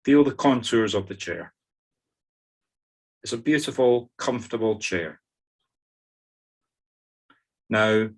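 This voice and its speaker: Low-voiced Scottish man leading meditation. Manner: informal, instructive, with wry humorous asides. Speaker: guided meditation leader. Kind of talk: spoken guided meditation to a group.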